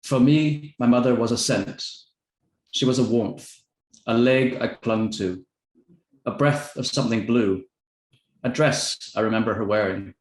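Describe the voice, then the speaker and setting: A man with a medium-pitched voice moderates a book talk over a video call, and his voice carries a roomy echo. He reads a translated passage steadily and chats with a modest, slightly hesitant manner. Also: unhurried, pauses often.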